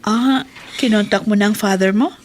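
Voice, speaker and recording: A woman's voice: mid-range voice, normal speed, lightly acted. An actress playing a guarded, secretive mother and household employer in natural radio drama dialogue. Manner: natural dialogue with hushed confiding asides. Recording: noisy radio drama with background ambience.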